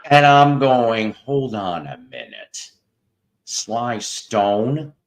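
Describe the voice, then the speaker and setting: A man hosting a casual chat show, telling a long story in a relaxing voice, rambling with pauses and restarts. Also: medium pitch, very animated. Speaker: host.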